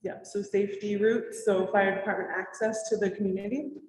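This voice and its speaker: A woman leading a public meeting, speaking loudly as she summarizes what each person said. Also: mid-range voice, steady tone, normal speed, a little hiss or hum. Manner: loud, summarizing others' points. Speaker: meeting facilitator. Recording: echoey hall, voice from far off.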